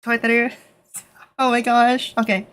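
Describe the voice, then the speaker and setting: A Canadian woman streaming in a nervous, casual way, with lots of fillers, switching between English and halting Japanese. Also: fairly high pitch, flat delivery, a bit bright. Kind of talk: casual live stream chat.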